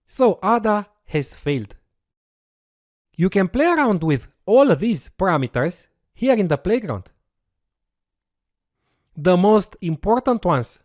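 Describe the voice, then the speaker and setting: Romanian-native instructor with lively pitch. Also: no room sound, clean studio sound. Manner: explanatory, walks through steps aloud with fillers. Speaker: course instructor. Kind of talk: screen-recorded coding tutorial.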